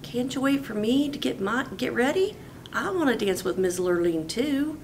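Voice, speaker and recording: A woman's voice: fairly high pitch, expressive, normal speed, a little hiss or hum, voice pushed up. A woman reading a storybook, voicing a humble, put-upon young cowboy with an earnest, folksy delivery. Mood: pleading.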